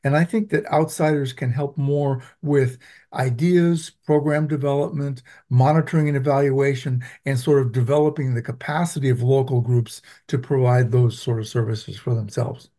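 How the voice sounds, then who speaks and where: A man from Venezuela who teaches public health, answering interview questions in a measured, explanatory way with frequent ums. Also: medium pitch, fairly even intonation, mellow. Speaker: public health professor, guest.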